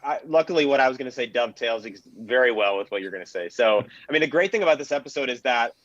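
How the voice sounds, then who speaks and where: A man on a casual panel, retelling things in an animated, colloquial way. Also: mid-range voice, fast talker, dry recording, muffled recording.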